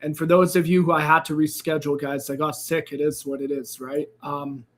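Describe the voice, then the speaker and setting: A man with a fairly high, slightly raspy voice, still a little hoarse from losing it. He hosts a live trading stream in a loose, upbeat way, greeting viewers by name and filling gaps with "uh" and "um". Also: flat delivery, a little fast, a bit bright. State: slightly hoarse, recovering from a lost voice. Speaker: crypto trading livestream host. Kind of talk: casual livestream chat.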